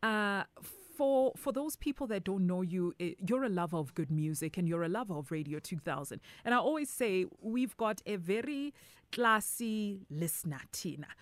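A woman's voice: medium pitch, expressive, normal speed, no echo. A South African woman hosting a radio dating segment, teasing and mixing English with Zulu. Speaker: radio host. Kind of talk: casual radio chat. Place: radio studio.

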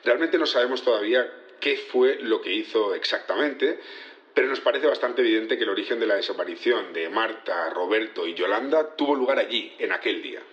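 A man's voice: lowish voice, brisk, a bit bright, reverberant, muffled recording, natural voice. An actor playing an earnest male security chief testifying in an audio drama, polite and explanatory with slight hesitations. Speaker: witness in a trial. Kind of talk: naturalistic audio drama acting.

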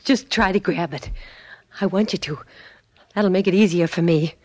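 A woman's voice: on the low side, moderate pace, darkish tone, no echo, lightly acted. Actress as a bitter, tough young woman, dry sound. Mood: bitter, menacing. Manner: tough, sardonic. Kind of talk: 1940s radio drama acting. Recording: vintage 1940s radio recording.

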